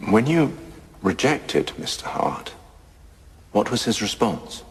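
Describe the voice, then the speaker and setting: A barrister with a very low voice questions formally and deliberately. The courtroom drama rings with hall echo. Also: male voice, very animated. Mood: probing, formal. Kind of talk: audio drama courtroom acting. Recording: echoey hall reverb.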